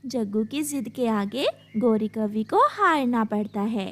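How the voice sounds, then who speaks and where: A woman's voice: on the high side, unhurried, a bit bright. A woman storyteller reading scripted narration for a children's story.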